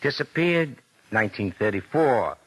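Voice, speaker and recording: A man playing a suspicious, insistent police detective in a 1940s radio drama, with a husky voice and clipped, accusing delivery. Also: medium pitch, lively intonation, measured pace, fairly bright tone, rough recording. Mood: deliberate, needling. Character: pressing, suspicious male police detective interrogating suspects.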